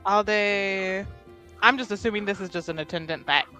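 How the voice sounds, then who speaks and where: Casual woman player with mid-pitched voice. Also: normal speed, pushed and bright, soft background music. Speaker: tabletop roleplaying player. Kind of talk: casual improvised game chat.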